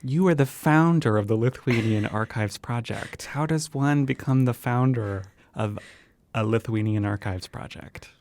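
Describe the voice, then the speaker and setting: A man hosting a podcast, asking open questions in a relaxed way. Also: medium pitch, measured pace. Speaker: podcast host. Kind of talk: interview questions.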